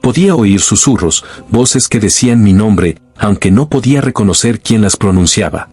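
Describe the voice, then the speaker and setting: A man reading a scripted first-person horror story in a slow, grave, suspenseful way. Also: fairly low pitch, expressive, darkish tone, breathy edge, dry recording, soft background music. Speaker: narrator.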